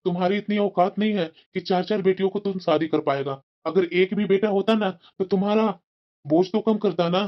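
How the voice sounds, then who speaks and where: A man's voice: sits high, natural voice. A man voicing a meddling, callous friend who persuades insistently and familiarly in a cartoon moral drama, on a muffled recording. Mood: mock concern. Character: meddling, pushy male friend giving callous advice. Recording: dull, muffled lo-fi sound.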